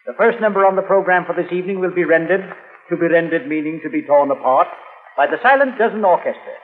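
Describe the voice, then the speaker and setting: A man's voice: high voice, quick pace, close to an everyday voice. A man playing a flustered, stammering radio announcer in a vintage comedy sketch, pompously formal and correcting himself. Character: bumbling, flustered 1920s radio station announcer, parody. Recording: tinny, reverberant early radio recording.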